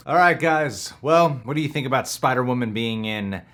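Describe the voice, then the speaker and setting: A man's voice: medium pitch, animated, normal speed, fairly bright tone. A young man co-hosting a movie reaction show, chatting casually with jokey, self-mocking bravado.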